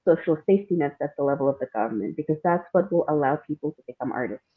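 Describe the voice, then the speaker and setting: A woman's voice: low-pitched, lively intonation, racing, slightly rough. A woman guest talking casually and candidly in an interview, thinking aloud in an informal way. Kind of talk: casual reflective interview talk. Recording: narrow, phone-like call audio.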